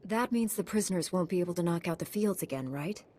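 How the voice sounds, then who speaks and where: An actress playing a composed, dutiful female knight in a video game, measured and matter-of-fact, with faint background noise. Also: fairly low pitch, lively intonation, dark tone, natural voice. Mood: composed, resolute.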